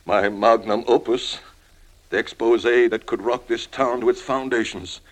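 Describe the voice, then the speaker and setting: An older man acting in a 1950s radio drama as a washed-up alcoholic crime reporter, self-pitying and wheedling, with halting, pleading speech. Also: mid-range voice, steady tone, natural voice, rough recording. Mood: bitter, impassioned.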